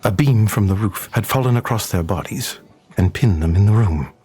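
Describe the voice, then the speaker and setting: A man narrating an audio drama in a solemn, measured, scripted storytelling manner. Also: on the low side, expressive, dark tone, husky.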